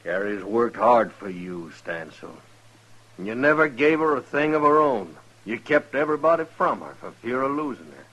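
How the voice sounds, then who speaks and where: An actor with an American accent playing a plainspoken, resolute cowboy in a 1950s western radio drama, earnest and firm. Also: male voice, medium pitch, very animated, normal speed, a bit bright, dull audio. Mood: defiant. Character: resolute, plainspoken unarmed cowboy defending his sweetheart. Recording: old radio recording with faint noise.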